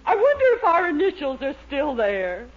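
An actress playing a domineering middle-aged wife in a 1940s radio sitcom, giving curt orders. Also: female voice, sits high, wildly swooping pitch, normal speed, heavy background noise. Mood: sentimental. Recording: dull 1940s radio broadcast.

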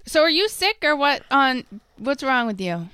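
Female co-host, mid-pitched, reflective and hedging, dry close recording. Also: very animated, normal speed, sharp tone, dry recording. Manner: musing, hedging with fillers. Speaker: podcast co-host. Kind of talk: casual chat.

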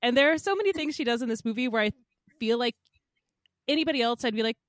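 A woman's voice: a little high, fast, no echo, studio quality. A woman hosting a podcast, chatting in a bubbly way and laughing often. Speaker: podcast host. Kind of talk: casual chat.

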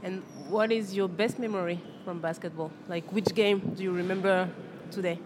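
A host who reads a scripted introduction, then asks an interview guest short questions and fills in with quick agreeing interjections. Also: medium pitch, steady tone, noisy background.

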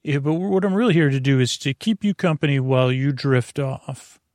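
A man with a creaky voice hosting a bedtime podcast, meandering and halting through a soothing monologue full of tangents and self-corrections. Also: medium pitch, darkish tone, dry recording, studio quality. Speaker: podcast host. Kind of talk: rambling bedtime monologue meant to lull listeners to sleep.

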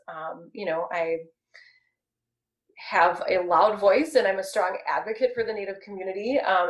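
A woman in her thirties speaking earnestly and measuredly in a casual discussion, pausing often with ums. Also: medium pitch, roomy sound, poor audio.

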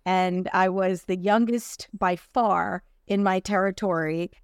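A middle-aged woman speaks as a guest on a podcast, chatty and relaxed as she tells stories about her life. Her voice is smooth and clear, and it is recorded close up in a dry room with no echo. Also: medium pitch, slow pace. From New Jersey. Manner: chatty, storytelling, laughs easily. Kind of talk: casual interview.

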